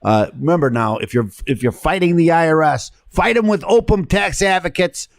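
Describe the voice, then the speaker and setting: A man's voice: on the high side, expressive, normal speed, vocal fry, no room sound, clean studio sound. A middle-aged man with a Chicago accent hosting a radio talk show, chatting casually and often stumbling over and repeating his words. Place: radio studio.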